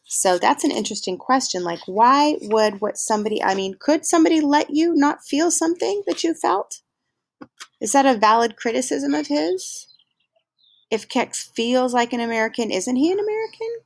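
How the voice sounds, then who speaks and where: A woman teacher reading a novel aloud to her class, casually pausing to pose questions and give instructions with fillers. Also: high voice, animated, vocal fry, dry recording.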